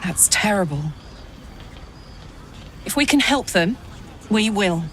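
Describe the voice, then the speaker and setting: A woman's voice: medium pitch, animated, takes it slowly, fairly bright tone, a little hiss or hum. An actress playing a brash, hearty female warrior in a fantasy game, blunt and swaggering. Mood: sympathetic.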